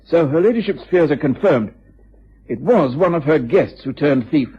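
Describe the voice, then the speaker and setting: Methodical English inspector, rough muffled recording. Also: male voice, fairly high pitch, expressive, moderate pace. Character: dutiful, methodical male police inspector, politely deferential. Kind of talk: radio drama acting.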